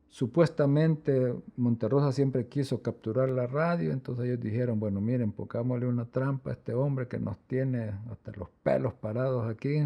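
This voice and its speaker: A man's voice: mid-range voice, vocal fry, dark tone. A man explaining things conversationally as an interviewee.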